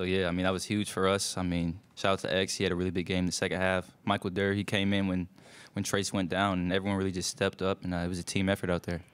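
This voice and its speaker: Low-voiced young man, rapid and clear. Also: a bit bright. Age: young adult. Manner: loose, filler-heavy, speaks in quick bursts. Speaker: college basketball player. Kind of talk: postgame press conference answers. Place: press room in front of a sponsor backdrop. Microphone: table mic.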